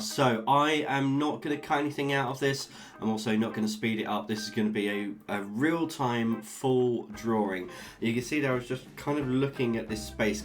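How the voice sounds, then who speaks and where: Man, lowish voice, upbeat, jokey, casual vlog chat, guitar music underneath. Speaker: art channel host.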